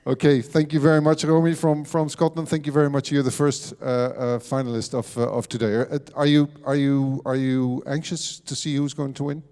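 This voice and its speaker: A male presenter with a mellow, darkish voice conducts a live interview and introduces performers. He talks haltingly, restarting phrases and repeating words. His voice carries a long hall reverb. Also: medium pitch, flat delivery, smooth. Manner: hesitant, repeats words while searching for them. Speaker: competition presenter.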